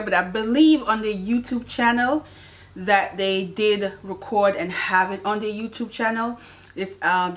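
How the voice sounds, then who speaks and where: A woman's voice: medium pitch, fairly bright tone. A woman vlogger chatting casually about her day, with lots of fillers and restarts. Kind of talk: casual vlog recap.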